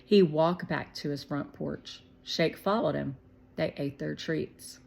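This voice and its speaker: A woman narrating a children's storybook in an expressive, deliberate way. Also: low voice, creaky. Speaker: read-aloud narrator.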